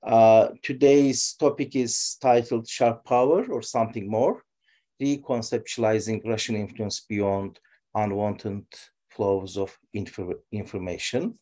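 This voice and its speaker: A man's voice: fairly low pitch, steady tone, a little slow, dark tone. A man hosting an online seminar, reading a guest's bio with some stumbles. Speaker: seminar host. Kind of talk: reading a speaker introduction. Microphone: video call.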